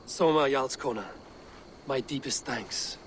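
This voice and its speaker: An actor playing a loyal, stoic Viking warrior in a video game, speaking in a grave, measured way. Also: male voice, medium pitch, a little hiss or hum, natural voice. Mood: solemn. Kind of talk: dramatic video game acting. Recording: loud music behind the voice.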